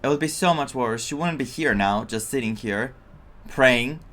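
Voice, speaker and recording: A man's voice: medium pitch, moderate pace, fairly bright tone. A man giving casual reaction commentary as a gaming streamer, repeating himself with theatrical exasperation. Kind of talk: casual reaction commentary over a story game.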